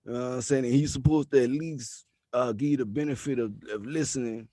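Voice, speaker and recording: A man's voice: mid-range voice, steady tone, brisk, mellow, dry recording. A man in his thirties hosting a podcast interview, talking in a laid-back, slangy way and giving advice like he's preaching. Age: 30s. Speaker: podcast host. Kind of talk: casual interview chat.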